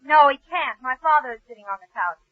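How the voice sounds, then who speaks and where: A woman's voice: sits high, lively intonation, quick pace. An actress playing a naive, lovestruck young woman seeking advice in a 1940s radio comedy, speaking earnestly. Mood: dreamy. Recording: muffled vintage radio broadcast.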